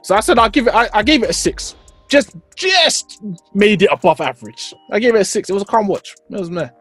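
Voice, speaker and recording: Husky London man, casual and slangy. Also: high voice, lively intonation, a little fast, a bit bright, dry recording, soft background music. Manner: slangy, repeats himself for emphasis. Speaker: podcast co-host. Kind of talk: casual banter about films and games.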